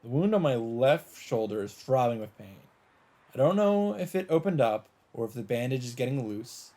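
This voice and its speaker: A man doing a let's play, reading a visual novel's narration aloud in a measured storytelling delivery. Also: medium pitch, sing-song, warm tone.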